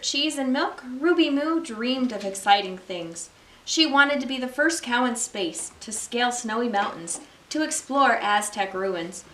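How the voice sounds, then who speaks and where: Woman doing kids story time, expressive reading, kinda high voice, really echoey room. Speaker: library story time reader. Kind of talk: children's storybook read aloud. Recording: roomy echo, distant microphone.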